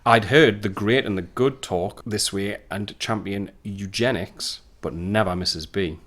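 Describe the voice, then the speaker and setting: A man's voice: lowish voice, unhurried, fairly bright tone. A man playing a wry narrator, telling a 1919 tale in a dramatic storytelling style for an audio drama.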